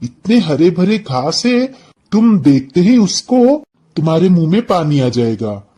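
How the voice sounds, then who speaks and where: Man voicing a slow, sly cartoon crow. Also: a little high, very animated, warm tone, slightly rough, heavily acted. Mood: sly coaxing. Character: cunning selfish male crow, sweet-talking trickster. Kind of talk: cartoon moral story acting.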